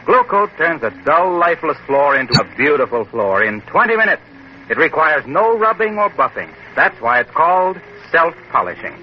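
Upbeat old-time radio announcer. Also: male voice, fairly high pitch, sing-song, normal speed. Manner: upbeat, selling. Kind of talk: 1940s radio ad read and narration. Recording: dull, hissy old radio recording.

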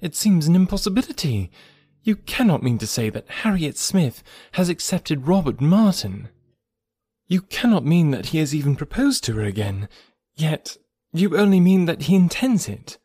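Male narrator as a young genteel lady, raised pitch, quick-witted, eager, emphatic, period novel audiobook. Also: sing-song, mellow, slightly rough, no echo, studio quality. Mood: incredulous. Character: lively young gentlewoman, quick, eager, disbelieving. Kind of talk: audiobook character dialogue.

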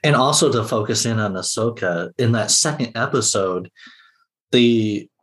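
A man's voice: mid-range voice, moderate pace, fairly bright tone. A man speaking as a podcast guest in a casual discussion.

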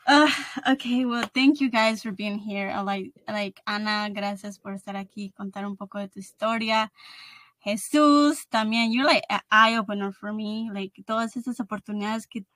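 A woman's voice: mid-range voice, normal speed, a bit bright, dry recording. Female podcast host, 30s, mid-range voice, informal, code-switches between Spanish and English, dry acoustic. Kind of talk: casual conversation.

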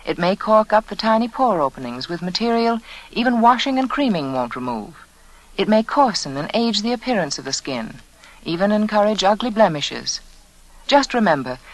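A woman's voice: fairly low pitch, rough recording. A woman reading a scripted 1940s radio commercial as a beauty spokeswoman. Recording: old 1940s radio broadcast.

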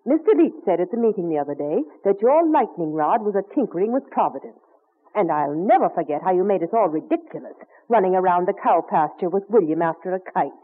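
An actress playing a scolding, exasperated but fond colonial wife in an old radio drama. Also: female voice, fairly low pitch, lively intonation, a little fast. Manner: nagging, exclamatory. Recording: thin narrow-band vintage radio recording.

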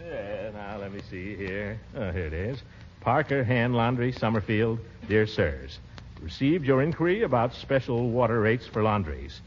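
An actor playing a pompous, flustered middle-aged bachelor uncle in a blustering, theatrical 1940s radio comedy style. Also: male voice, lowish voice, animated, normal speed, close to an everyday voice. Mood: blustery, self-satisfied. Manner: blustering, theatrical, swooping from coy to grumbling. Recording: muffled, noisy old radio recording.

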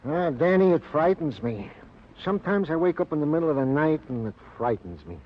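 An actor playing an earnest, sorrowful, long-winded police sergeant with pompously formal wording in a radio drama. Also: male voice, a little high, sing-song, brisk, slight background noise. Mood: anguished, plaintive. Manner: wordy, pompously formal, plaintive. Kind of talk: 1950s radio drama acting. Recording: muffled old radio recording.

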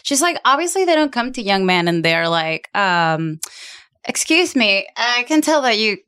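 A woman's voice: medium pitch, normal speed, smooth. A woman from Venezuela co-hosting a podcast, chatting casually and laughing as she tells stories. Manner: laughing, animated storyteller. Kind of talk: casual chat.

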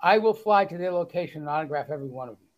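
High-voiced man with a Boston accent. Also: lively intonation, racing, lo-fi sound. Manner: good-humored, self-deprecating, with fillers. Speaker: author guest. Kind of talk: reading aloud and casual interview chat.

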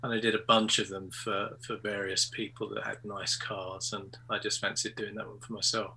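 A man's voice: fairly low pitch, little pitch movement, normal speed, vocal fry, bright, forceful tone, a little hiss or hum, low-quality recording. A man in his sixties from England, a photographer chatting as a guest in an interview, hesitant with many ums and restarts, over a video call. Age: 60s. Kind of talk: casual interview chat.

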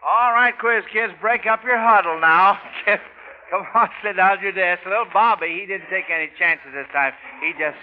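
Male American quiz host, vintage radio style, fairly high voice, chatty and hesitant, on a muffled noisy recording. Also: moderate pace. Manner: chatty, hesitant, repeats answers back. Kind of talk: live radio quiz hosting, 1940s-50s.

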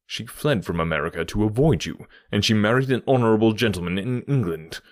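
A stern English detective of classic storybooks, voiced by a male narrator, very deep and self-assured. He speaks with quiet authority in a dry, close recording. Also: expressive, normal speed, no room sound.

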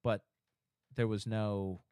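A man hosting a casual podcast chat, reacting quickly and wandering through explanations. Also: lowish voice, level tone, warm tone, clean tone, no room sound, clean studio sound. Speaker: podcast host.

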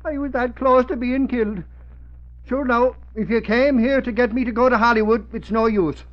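An actor playing an excitable, boastful Irish newspaper reporter in 1940s radio drama style. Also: male voice, very high-pitched, moderate pace. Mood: blustering. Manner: blustering, indignant. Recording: dull old 1940s radio recording.

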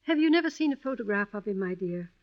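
An actress playing a kindly, wistful elderly widow in an old-time radio drama, gentle and hesitant, with fond endearments. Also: female voice, mid-range voice, lively intonation, fast talker, natural voice. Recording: dull vintage radio recording.

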